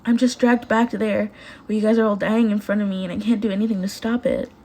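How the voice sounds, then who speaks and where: Woman acting out a high-pitched, emotional teenage girl. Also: steady tone, fast, dark tone, close to an everyday voice. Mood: upset, self-conscious. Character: upset, high-strung, bubbly teenage girl hero student.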